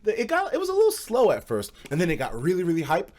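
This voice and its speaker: Young American male streamer, high voice, slangy casual storyteller who swears freely. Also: quick pace. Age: young adult. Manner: animated, slangy and profane, acts out quoted dialogue. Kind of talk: casual storytelling to a live chat.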